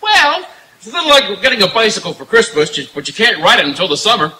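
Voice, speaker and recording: An actor as a high-voiced bashful male radio guest. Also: big pitch swings, fast talker, pushed and bright, exaggerated voice, poor audio. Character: bashful newly engaged male singing guest. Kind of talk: old-time radio comedy acting. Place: outdoor night stage in the woods. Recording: live outdoor stage, filmed from afar.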